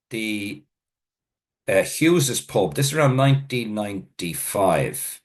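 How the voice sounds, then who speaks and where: A middle-aged man with an Irish accent, his first language being Irish, speaks in a slightly low voice. He tells his history in a loose, meandering way, full of fillers and self-corrections, like an informal lecture. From Ireland. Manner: rambling, self-correcting storyteller with many fillers. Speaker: food historian giving a talk.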